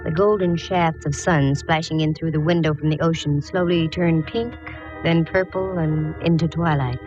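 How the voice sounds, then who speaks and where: A woman's voice: low voice, fairly even intonation, normal speed, over music, natural voice. An American woman playing a wisecracking, confident private eye in a vintage radio detective drama, narrating and giving orders with wry ease. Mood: cool narration. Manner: breezy, wry, commanding. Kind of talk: 1940s-50s radio detective acting and narration. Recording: muffled, noisy old radio recording.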